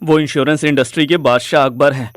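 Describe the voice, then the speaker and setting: A man's voice: fairly high pitch, steady tone, quick pace, a little hiss or hum, natural voice. A man playing a determined, anxious searcher in an audio drama. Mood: tense, determined.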